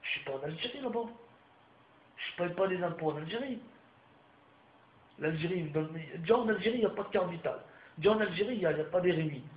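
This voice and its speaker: A man's voice: high-pitched, lively intonation, fast, long pauses. A young man from France ranting straight to his viewers, heated and repetitive, with crude slang. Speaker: vlogger. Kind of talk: ranting monologue to camera. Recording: roomy, muffled home recording with faint background hiss.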